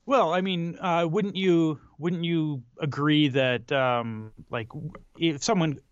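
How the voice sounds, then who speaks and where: A man with a slightly high voice talks casually about films as a co-host, often halting to search for his words. His humor is dry and tongue-in-cheek. The sound is close and dry but somewhat dull and muffled. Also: no echo. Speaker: podcast co-host. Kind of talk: casual film discussion.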